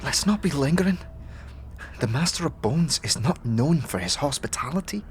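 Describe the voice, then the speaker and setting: A man voicing a wary, sharp-tongued young woman thief with halting, slangy street talk in a fantasy audio drama. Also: a little high, expressive, faint music behind, lightly acted. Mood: uneasy.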